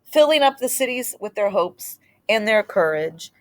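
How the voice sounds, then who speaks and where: A woman storyteller reading a picture book aloud expressively, in short deliberate phrases with dramatic pauses and the odd aside. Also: mid-range voice, expressive.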